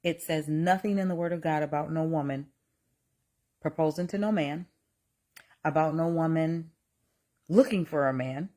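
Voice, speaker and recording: A woman's voice: sits low, warm tone. A woman in her forties talking casually to a live audience about faith and relationships, often restarting her sentences and reading comments aloud. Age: 40s. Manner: reads chat aloud, exclaims in mock outrage; slow, repetitive, restarts often. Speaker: live stream host.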